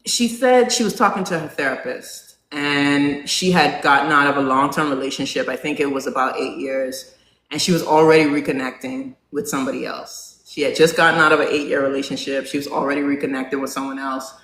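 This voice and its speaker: A non-binary host in their forties chatting casually with a live audience, asking them questions and filling pauses with "um". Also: mid-range voice, fairly even intonation, fairly bright tone. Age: 40s. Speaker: live talk show host. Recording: roomy echo, faint background hum.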